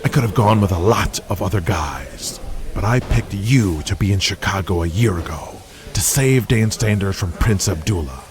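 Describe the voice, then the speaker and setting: Deep-voiced man playing a hard-driving wrestling boss. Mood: stern, exasperated. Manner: forceful lecturing, profane, emphatic. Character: hard-driving, profane male wrestling promoter, stern boss. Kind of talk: audio drama acting.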